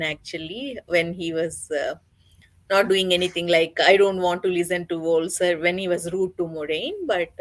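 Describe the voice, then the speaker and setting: A woman co-hosting a casual book discussion, speaking excitably and haltingly with frequent self-corrections. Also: fairly low pitch. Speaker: co-host of a book readalong discussion.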